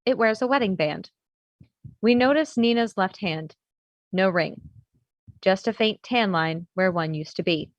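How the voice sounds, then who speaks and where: A woman's voice: medium pitch, a bit bright, dry recording. A woman narrating the stage directions of a script at a table read. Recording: dull, slightly lo-fi audio.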